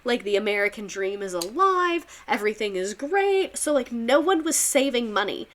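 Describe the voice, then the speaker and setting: Young southern lady teaching history on stream, bright tense voice, rambly and giggly. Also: a little high, sing-song, normal speed. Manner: chatty, rambling, full of "like" and laughter. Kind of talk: casual history lesson on a livestream.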